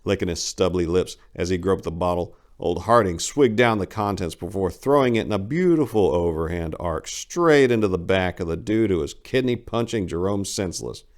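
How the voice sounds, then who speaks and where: A man's voice: low-pitched, expressive, moderate pace, few pauses, darkish tone. A man giving a theatrical, emphatic dramatic reading of a short story as narrator.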